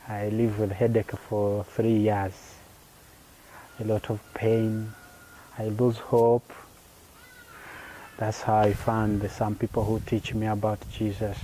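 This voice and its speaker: A young Ugandan-accented man giving an unscripted personal testimony in an earnest, halting way with simple, repeated phrasing. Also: lowish voice, dark tone, slight background noise, rough recording. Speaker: interviewee sharing personal faith testimony.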